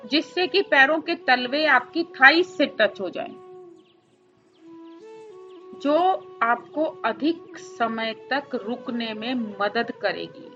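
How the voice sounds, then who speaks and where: Slow, high-voiced woman guiding meditation. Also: bright, forceful tone, highs cut off, faint music behind. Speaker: meditation teacher. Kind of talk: guided meditation instruction.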